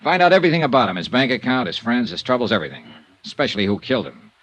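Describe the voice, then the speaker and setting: An American actor playing a tough, shrewd insurance investigator in a 1950s radio drama, brisk and commanding. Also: male voice, mid-range voice, sing-song, a bit bright, poor audio. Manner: brisk, commanding, clipped delivery. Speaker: lead actor and narrator. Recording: vintage radio recording with faint noise.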